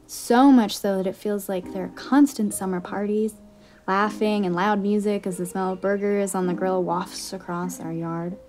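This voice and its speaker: A woman's voice: medium pitch, warm tone. A young woman reading a scripted first-person short story as a narrator. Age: young adult. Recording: music behind the voice.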